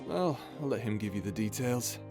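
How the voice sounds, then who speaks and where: A man acting in an ASMR audio drama as a caring, steady space pirate captain with a casual, teasing, reassuring manner. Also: medium pitch, moderate pace, natural voice. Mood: brisk, worried. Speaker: voice actor in audio roleplay. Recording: music under the voice. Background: music.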